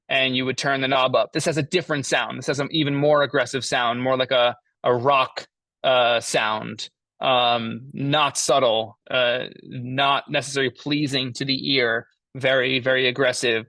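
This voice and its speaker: Guy explaining studio gear in a casual class, halting, lots of fry in a bright voice. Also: mid-range voice, no room sound. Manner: halting, thinking aloud. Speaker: mixing engineer teaching a class. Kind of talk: informal lesson, explaining audio tools.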